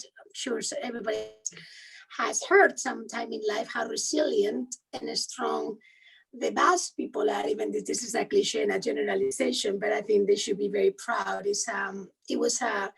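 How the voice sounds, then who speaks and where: A woman from Spain with a strong Spanish accent, a guest attorney answering interview questions quickly and earnestly, often restarting as she searches for English words. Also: a little high, creaky, husky. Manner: fast, earnest, self-correcting, searches for words. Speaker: guest, human rights attorney. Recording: distant, garbled video call audio.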